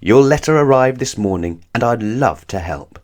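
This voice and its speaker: A man's voice: medium pitch, expressive, moderate pace, a little mellow, pitched above the performer's own voice, natural voice. A man voicing a cheerful, polite and eager Christmas character in a children's storybook. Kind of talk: children's storybook read-aloud.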